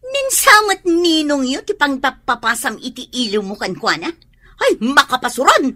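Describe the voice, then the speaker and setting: An actress playing a sharp-tongued woman in a quarrel, scolding in a radio drama. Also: high voice, big pitch swings, moderate pace, full character voice.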